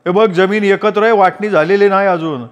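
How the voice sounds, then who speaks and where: A man's voice: sits high, brisk, long reverb tail, pitched above the performer's own voice, lightly acted. A man voicing an angry older brother who argues in a raised, indignant voice. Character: angry older brother, village bank clerk.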